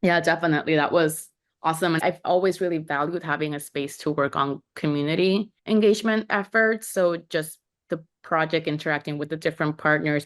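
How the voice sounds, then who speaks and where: A young woman from Puerto Rico, a podcast guest who talks in an upbeat way and laughs often. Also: deep voice. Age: young adult. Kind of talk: podcast interview.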